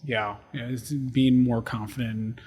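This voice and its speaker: A man hosting a podcast interview, chatty and quick, with frequent laughs and restarts. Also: mid-range voice, steady tone, a little mellow, slightly rough. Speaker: podcast host. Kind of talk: casual interview conversation.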